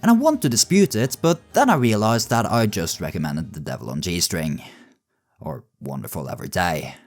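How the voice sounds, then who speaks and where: A man's voice: deep voice, big pitch swings, normal speed. A man narrating casual scripted video commentary in a wry, sardonic, sweary way. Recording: music behind the voice. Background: background music.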